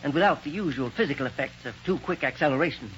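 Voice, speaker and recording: A genial, fatherly scientist proud of his inventions. His voice sits slightly high for a man, and he tends to chuckle as he talks. The sound is dull and faintly hissy, like an old 1930s radio transcription. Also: expressive, fast, fairly bright tone. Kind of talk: 1930s radio drama acting.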